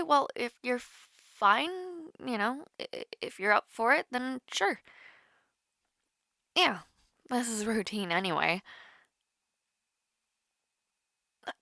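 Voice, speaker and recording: A woman's voice: fairly high pitch, big pitch swings, slow, creaky, long pauses, dry recording, studio quality, heavily acted. A young woman playing a shy, caring college student with a crush in an intimate audio roleplay, hesitant and easily flustered. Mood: considerate, fond. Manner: hesitant, flustered, soft-hearted, giggly. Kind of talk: intimate romantic audio roleplay, one-sided call.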